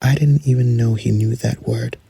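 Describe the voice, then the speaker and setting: A man playing a weary narrator recalling an unsettling night trek in a horror audio drama, his voice husky, dark and gravelly. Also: medium pitch, steady tone, moderate pace. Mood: uneasy. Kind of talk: first-person horror audio drama monologue.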